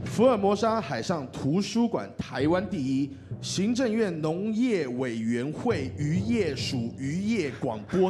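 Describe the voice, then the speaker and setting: Animated, joking Taiwanese man announcing awards. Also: a little high. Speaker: awards presenter and radio host. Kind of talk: comic stage banter and nominee announcing. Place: awards ceremony stage.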